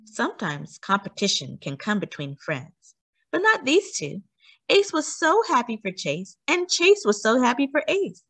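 A woman reading a children's book aloud as a storyteller, with expressive, emphatic delivery for young listeners. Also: on the high side, a little slow, husky, no room sound.

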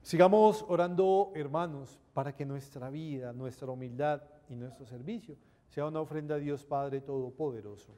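A middle-aged man, a priest, reciting liturgical prayers in a measured, reverent, solemn way. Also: on the high side, warm tone. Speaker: priest celebrating Mass. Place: indoor chapel at an altar. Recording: reverberant chapel sound.

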